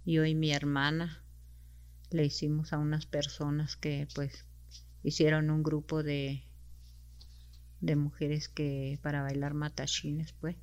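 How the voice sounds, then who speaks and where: A woman from Mexico, answering interview questions softly and hesitantly, with many fillers. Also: sits low, flat delivery, takes it slowly, long pauses, smooth, highs cut off. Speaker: interview guest.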